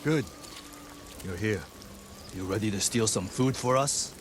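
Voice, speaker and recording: An actor playing a cocky, teasing adult mercenary gang leader in a video game. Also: male voice, fairly low pitch, unhurried, lightly acted. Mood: wry. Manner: teasing, boastful. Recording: game audio with ambient noise.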